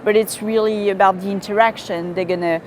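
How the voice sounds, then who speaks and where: An earnest woman organizer speaks in a mid-range voice and promotes her event's mission with conviction. Steady background noise is audible throughout. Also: medium pitch, a little fast. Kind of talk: promotional interview. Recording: noisy background ambience.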